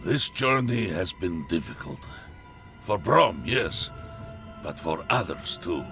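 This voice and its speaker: Big gentle game hero: low, unhurried, bashful and hesitant, with music behind. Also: male voice, expressive, exaggerated voice. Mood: gentle, concerned. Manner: hesitant, speaks of himself by name. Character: kindly, bashful big male warrior. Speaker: voice actor. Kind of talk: dramatic video game cutscene acting.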